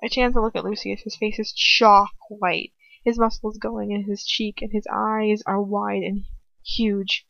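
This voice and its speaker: A woman narrates a dramatic story in the first person at a middle pitch, emotive and a little halting. She stumbles and restarts now and then. The sound is dry and slightly dull, like a lo-fi home recording. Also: flat delivery, gravelly, a bit bright, dry recording. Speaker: amateur fan fiction reader.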